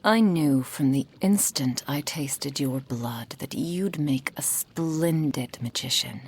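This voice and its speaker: A woman playing a possessive, doting kitsune witch in an intimate ASMR roleplay, breathy and often whispering, with a silky, formal manner. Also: rumbling low, slow pace, a little mellow, heavily acted. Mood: adoring, wistful.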